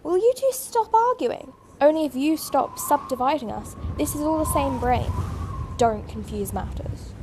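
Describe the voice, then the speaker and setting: A woman playing a quick, scatty, optimistic alien time traveller in a fan audio drama, chattering to herself and switching abruptly between worry and pep. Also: sits high, lively intonation, noisy background. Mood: bickering, urgent.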